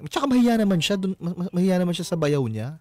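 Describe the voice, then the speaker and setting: Animated, high-pitched Filipino male radio host. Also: fast talker, soft background music. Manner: teasing, amused, laughs readily. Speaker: radio advice show host. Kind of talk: casual chat, advising a caller. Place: radio studio.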